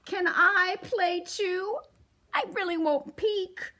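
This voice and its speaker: A woman voicing a small, lonely young bunny in a children's storybook, asking gently to play. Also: very high-pitched, slow, voice pushed up, exaggerated voice. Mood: pleading. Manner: pleading, gentle.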